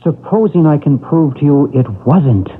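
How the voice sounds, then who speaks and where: Calm hypnotist, soft soothing delivery, husky voice. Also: male voice, a little high, moderate pace. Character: calm hypnotist and paranormal expert. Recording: tinny old radio broadcast.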